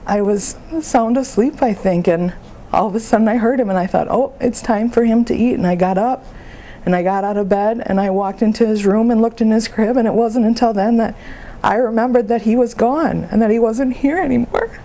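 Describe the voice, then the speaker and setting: A woman playing a grieving young mother in a support phone conversation, speaking haltingly and plainly about her feelings. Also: fairly high pitch, warm tone, natural voice, low-quality recording. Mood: weary, grieving. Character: grieving young mother, sad, exhausted, openly hurting. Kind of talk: role-played counseling phone conversation.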